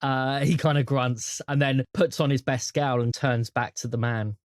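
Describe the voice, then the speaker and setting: A game master narrating a tabletop roleplaying game in measured, descriptive, halting phrases. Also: mid-range voice, fairly even intonation, no room sound, studio quality.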